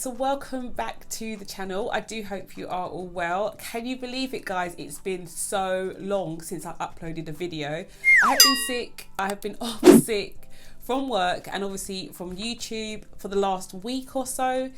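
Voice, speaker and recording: A woman's voice: mid-range voice, measured pace, loud music behind the voice. A woman hosting her own budgeting channel, talking casually and chattily to her viewers. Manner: chatty, keeps addressing viewers as "guys". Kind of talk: casual vlog chat.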